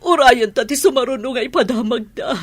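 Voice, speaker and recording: An actress playing a distressed, irritable mother in an Ilocano radio drama, with a husky, emotional delivery. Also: high voice, fairly quick. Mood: tearful distress.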